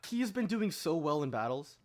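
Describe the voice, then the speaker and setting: A man's voice: fairly high pitch, big pitch swings, brisk, a little mellow. A young man on a podcast panel, arguing his picks in a casual, assertive and emphatic way. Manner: emphatic, assertive, argues from results. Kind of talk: casual opinionated debate.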